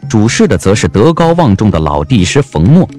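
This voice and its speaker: Low-voiced man narrating with sweeping inflection. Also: very animated, darkish tone. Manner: literary, measured dramatic phrasing. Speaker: audiobook narrator. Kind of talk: scripted novel narration, dramatic storytelling. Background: background music.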